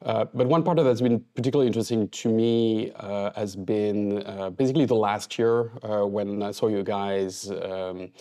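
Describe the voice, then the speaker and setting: Low, mellow male podcast interviewer. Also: steady tone, normal speed, few pauses. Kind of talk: conversational interview questioning.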